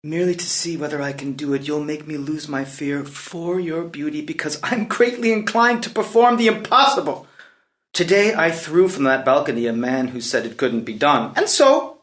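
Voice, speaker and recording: An actor playing an imperious, hot-tempered young prince in a theatrical reading of a stage play. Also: male voice, on the high side, expressive, normal speed, a bit bright. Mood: menacing. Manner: commanding, theatrical. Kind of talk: unrehearsed dramatic play reading. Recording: echoey room.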